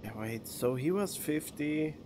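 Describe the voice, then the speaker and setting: A man's voice: on the high side, expressive, measured pace, a little mellow, a little hiss or hum. A man giving casual let's play commentary as a game streamer, musing and thinking aloud into a close mic. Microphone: close studio condenser microphone. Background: faint game music.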